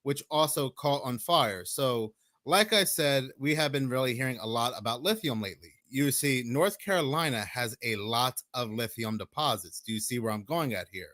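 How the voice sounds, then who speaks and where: Man from the US, hoarse and chalky, mid pitch, measured commentary. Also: no echo, studio quality. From United States. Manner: measured, steady explaining. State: hoarse, sore throat. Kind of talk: explanatory conspiracy commentary.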